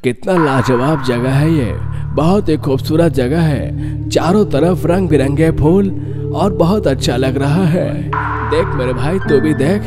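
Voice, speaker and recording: A man's voice: a little high, unhurried, over loud music, natural voice. A man voicing a blustering cartoon boy. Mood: delighted. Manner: blustering and loud-mouthed. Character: plump hungry cartoon boy, boastful. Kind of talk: cartoon voice acting.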